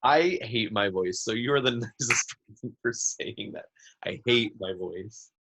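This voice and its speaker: A man's voice: lowish voice, unhurried, background noise. A man with a nasal voice co-hosting a casual chat show in an easygoing, self-deprecating way. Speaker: podcast co-host.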